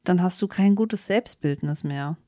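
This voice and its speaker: A woman's voice: lowish voice, a little slow, dark tone, no room sound, studio quality. A woman co-hosting a podcast in casual, conversational discussion. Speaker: podcast co-host.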